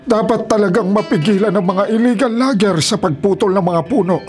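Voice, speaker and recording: A man's voice: high voice, a little fast, a little mellow, faint music behind, lightly acted. A man playing a principled, peace-loving old forest elder in a Filipino radio drama, earnest and firm, with a Filipino accent. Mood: earnest concern.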